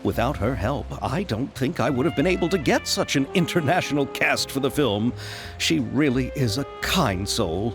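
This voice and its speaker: A man's voice: medium pitch, lively intonation, normal speed, lightly acted. An actor voicing a polite, eager young male film producer in a game, courteous and wordy, with nervous little laughs. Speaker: game character. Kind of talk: video game voice acting. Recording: game audio with soft music.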